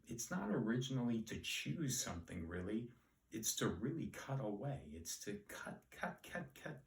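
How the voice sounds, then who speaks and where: A man teaching word origins in a relaxed, rambling, self-deprecating way. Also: lowish voice, recorded from a distance. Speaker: Latin etymology teacher. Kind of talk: casual solo etymology lesson. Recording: echoey room, voice off-mic.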